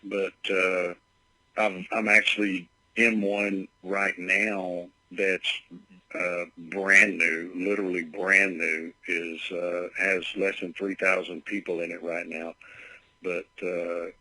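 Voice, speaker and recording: A man with a low, forceful voice leaves a voicemail message, heard on playback with a dry sound. He speaks haltingly, doubling back on his words as he goes. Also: flat delivery, no room sound, rough recording. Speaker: caller leaving a voicemail.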